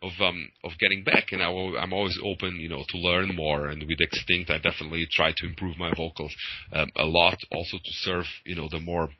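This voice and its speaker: A man from Portugal with a Portuguese accent, answering interview questions in English, hesitant with many pauses and light laughs. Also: low voice, level tone, sharp tone, rough recording. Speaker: singer of a metal band.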